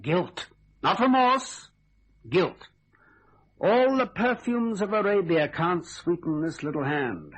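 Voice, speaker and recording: A sly police inspector in a radio thriller. His husky voice rises and falls widely, wordy and theatrical, needling with deliberate repetition. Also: high voice, sing-song, a bit bright, full character voice. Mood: theatrical, menacing.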